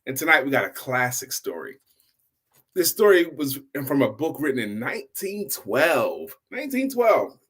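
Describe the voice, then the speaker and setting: A man's voice: mid-range voice, slightly rough. A middle-aged man hosting an informal motivational storytime, repeating key phrases for emphasis like a seasoned speaker. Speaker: storytelling host, sales coach and speaker.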